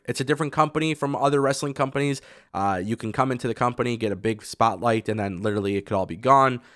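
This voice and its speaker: A man hosting a wrestling news show, his voice raspy from a cold, talking casually and giving his opinions with lots of fillers. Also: mid-range voice, fairly bright tone, no room sound, studio quality. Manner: chatty and opinionated, with many fillers.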